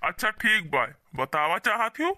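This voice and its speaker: Man playing an indignant village brother, high and piercing. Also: sing-song, normal speed, pushed and bright, dry recording, studio quality, heavily acted. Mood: indignant, urging. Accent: Awadhi. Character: protective, indignant younger brother from a poor village. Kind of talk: cartoon dialogue.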